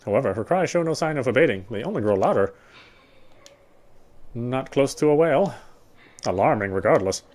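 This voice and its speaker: A man streaming a game, reading its text aloud as measured, dramatic narration with occasional stumbles. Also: medium pitch, lively intonation, darkish tone. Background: game music.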